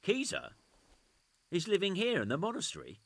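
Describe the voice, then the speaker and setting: A man's voice: high voice, huge pitch swings, moderate pace, fairly bright tone, dry recording, heavily acted. An actor playing an evasive, indignant nobleman in a video game, protesting in a sardonic, plain-spoken way. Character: evasive, indignant nobleman caught skulking at night. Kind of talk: dramatic video game acting.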